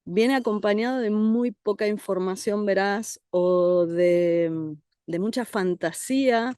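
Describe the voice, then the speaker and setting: A woman from Argentina with an Argentine Spanish accent, a therapist speaking as a guest in a calm, reflective interview. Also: mid-range voice, slow pace, clear, resonant tone, no room sound, clean studio sound. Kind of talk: conversational interview. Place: country house outdoors among trees.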